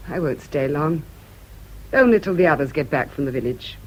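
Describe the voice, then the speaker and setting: A woman's voice: low voice, expressive, normal speed, a little mellow, low-quality recording. An actress playing a reserved but intense woman in a radio drama, polite and restrained. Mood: composed, curious. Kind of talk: BBC radio drama acting.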